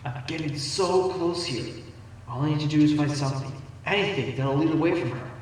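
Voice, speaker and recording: A man's voice: fairly high pitch, brisk, roomy sound, slight background noise, lightly acted, poor audio. A man playing a determined, sly fox defense attorney in a fan audio drama, speaking emphatically and theatrically. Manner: theatrical, emphatic, bursts into objections.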